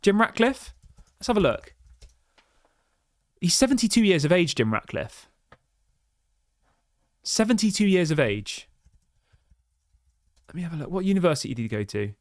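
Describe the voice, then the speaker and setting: A man's voice: high voice, very animated, normal speed, pauses often, no echo, clean studio sound. A man hosting a football fan livestream, reading viewer chats and ranting passionately with lots of restarts and rhetorical questions. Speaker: football fan channel host.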